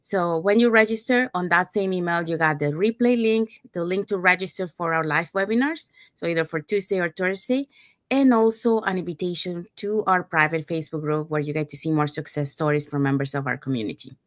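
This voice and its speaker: Woman hosting a casual webinar, voice a bit low. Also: a little fast, no room sound, studio quality. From Panama. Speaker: host, digital business owner. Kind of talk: casual live webinar presenting.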